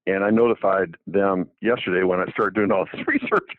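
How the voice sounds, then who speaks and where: A man's voice: sits low, fairly even intonation, a little fast, no room sound. A man in his seventies, a doctor, talking casually on the radio with many restarts and fillers. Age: 70s. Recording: phone-like dry narrow line.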